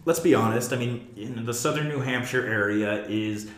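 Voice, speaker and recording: An American man hosts a casual podcast discussion, his voice at an ordinary middle pitch. He thinks out loud and often puts questions to the others. The room adds a clear echo around his words. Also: moderate pace. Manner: thinks aloud, poses questions to the group. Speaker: podcast host and theater producer. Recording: echoey room.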